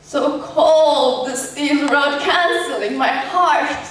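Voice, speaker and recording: A woman playing a haunted, traumatised war survivor in a poetic stage monologue, with halting, fragmented phrasing. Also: high-pitched. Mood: haunted, subdued. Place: echoey indoor performance space, distant mic. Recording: echoey hall from far off, faint noise.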